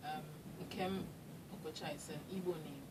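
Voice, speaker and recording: A Nigerian woman in her thirties, a writer, talking in a relaxed way with many pauses. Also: deep voice. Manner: relaxed, hesitant with fillers. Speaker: guest writer. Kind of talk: radio interview. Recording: distant mic with loud noise.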